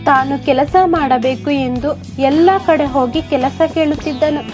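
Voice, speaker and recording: High-voiced woman storyteller, unhurried, over music. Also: steady tone. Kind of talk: scripted moral story narration. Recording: music behind the voice.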